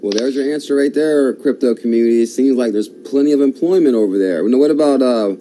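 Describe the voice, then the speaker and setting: A man's voice: mid-range voice, fast, dark tone, slight background noise, poor audio. A man hosting an interview, reacting enthusiastically with frequent exclamations of wow. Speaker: host. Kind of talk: conversational interview.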